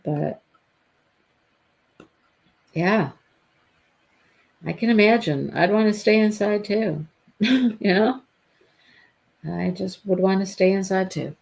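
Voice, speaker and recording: A woman's voice: medium pitch, vocal fry, darkish tone. A woman hosting a live stream, chatting casually with viewers by name and repeating her phrases, her voice stuffy and congested. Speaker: livestream host.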